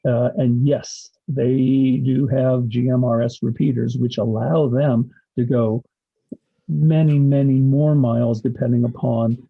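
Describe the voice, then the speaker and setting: A man giving an informal instructional slide presentation, explaining things in a halting way with pauses mid-sentence. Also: medium pitch, fairly even intonation, vocal fry, slightly rough, narrow, tinny audio. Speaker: college instructor and radio club advisor giving a presentation.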